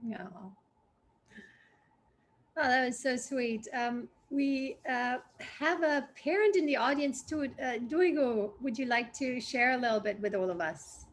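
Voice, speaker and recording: A woman's voice: high-pitched, measured pace. A woman hosting a school webinar, moderating with brief friendly remarks. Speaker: school host.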